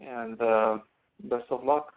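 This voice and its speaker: A man working as a college instructor, explaining step by step in an online class with a halting delivery that often restarts. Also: medium pitch, fairly even intonation. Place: online screen-sharing class session. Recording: tinny phone-like webinar audio.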